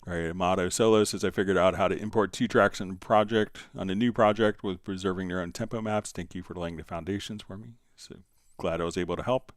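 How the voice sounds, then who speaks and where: A man's voice: deep voice, steady tone, fast, hardly any pauses, dry recording, clean studio sound. A man hosting a casual live Q&A, conversationally reading out viewer comments and answering them. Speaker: livestream host answering software questions.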